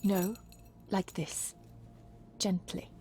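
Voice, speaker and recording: A woman's voice: medium pitch, level tone, extremely slow, dark tone, a little hiss or hum. An actress playing a practical, kindly young woman in a video game, coaxing and plainspoken. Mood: gentle. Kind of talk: dramatic video game acting.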